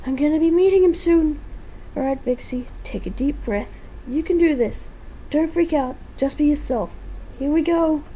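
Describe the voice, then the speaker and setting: A woman voicing an excitable, nervous female animatronic pirate fox in a fan story, gushing and shouting. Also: high-pitched, moderate pace, slight background noise, pitched above the performer's own voice, lightly acted, rough recording. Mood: gleeful excitement, then nervous self-encouragement.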